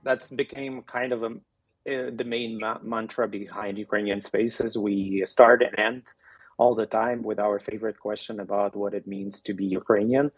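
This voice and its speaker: A man's voice: on the low side, flat delivery, normal speed, slightly rough, no echo, low-quality recording. A man hosting a podcast, speaking in a heartfelt way with slightly non-native phrasing. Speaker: podcast host. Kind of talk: podcast talk.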